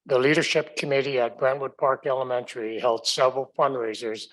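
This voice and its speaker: A man reads a scripted news roundup as a school trustee. His voice sits at an ordinary middle pitch, and his delivery is formal and measured. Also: flat delivery, low-quality recording.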